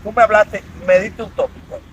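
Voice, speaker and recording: A man hosting an interview, introducing his guest in an animated, promotional way. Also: high voice, brisk, muffled recording. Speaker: interview host. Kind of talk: conversational interview. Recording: outdoor traffic noise.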